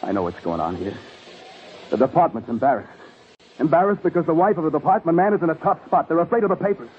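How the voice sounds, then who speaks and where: Casting: man to play anguished, urgent cop, very animated pitch, vintage crime radio drama, muffled hissy recording. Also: fast, close to an everyday voice. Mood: angry, defiant. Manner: urgent, emotional, clipped. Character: intense, anguished police detective defending his wife. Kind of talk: mid-century radio crime drama acting.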